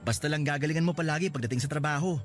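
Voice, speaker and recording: A man's voice: a little high, very fast, faint music behind, lightly acted. An actor playing a cheerful, joking young male coworker in a radio drama, teasing and boasting playfully. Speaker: Lester.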